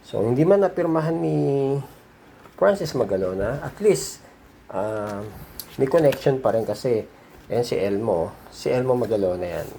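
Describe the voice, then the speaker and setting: A man's voice: mid-range voice, lively intonation, unhurried, creaky, dark tone, a little hiss or hum. A man with a Filipino accent hosting a hobby vlog, chatting informally and repeating himself as he shows things. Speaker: host of a vintage audio video channel. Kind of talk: casual show-and-tell vlog chat.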